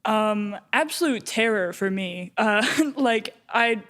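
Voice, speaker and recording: Slow deadpan young woman. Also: mid-range voice. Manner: deadpan, dry jokes. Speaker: guest voice actor. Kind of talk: casual chat.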